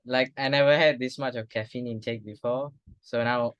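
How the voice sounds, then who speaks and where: A man's voice: medium pitch, fairly even intonation, normal speed, no echo, dull audio. A man hosting a casual podcast chat, talking hesitantly with many restarts. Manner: hesitant, restarts often, soft-spoken. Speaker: podcast host.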